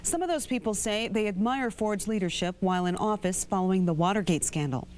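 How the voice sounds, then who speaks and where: A woman's voice: medium pitch, fairly quick, a little mellow, slight background noise. A woman with an American accent reading scripted news as an anchor.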